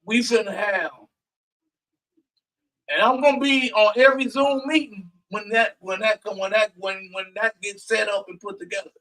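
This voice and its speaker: A man co-hosting a casual community talk show, talking loudly and emphatically in long rambling runs. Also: high-pitched, fairly even intonation, normal speed, far from the mic, poor audio. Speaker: co-host.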